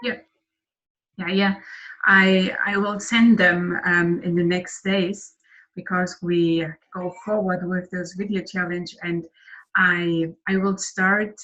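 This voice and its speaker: A woman conducting a casual online interview, speaking haltingly and repeating words in an encouraging way. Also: lowish voice, level tone, fairly bright tone. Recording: muffled lo-fi call audio.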